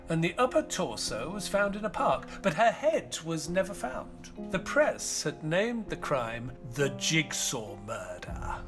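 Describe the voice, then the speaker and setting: Solemn, expressive retired doctor. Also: male voice, a little high. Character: elderly male doctor, retired author, wistful and loyal. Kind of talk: dramatic first-person scripted narration.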